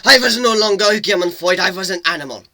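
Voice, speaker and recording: A man's voice: sits high, fast talker, bright, forceful tone, no room sound, voice pushed up, full character voice. A man voicing a battle-worn older mechanical pony, bitter, intense and curt, in a story reading. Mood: frantic, driven. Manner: intense, angry, curt, swearing.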